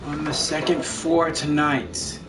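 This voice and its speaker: A man's voice: fairly high pitch, level tone, slow. A man live-streaming a video game, reading dialogue aloud and commenting as he plays. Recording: distant mic, game music behind. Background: game music.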